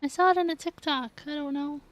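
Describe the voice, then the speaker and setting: A woman's voice: sits high, steady tone, brisk, fairly bright tone, dry recording. A woman streaming a game, giving casual, playful live commentary in a chatty way.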